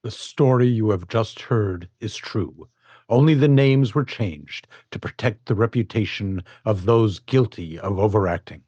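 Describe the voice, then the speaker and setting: A man's voice: on the low side, a little slow, mellow, lo-fi sound. A male announcer giving the closing statement of a radio drama in a formal, solemn read.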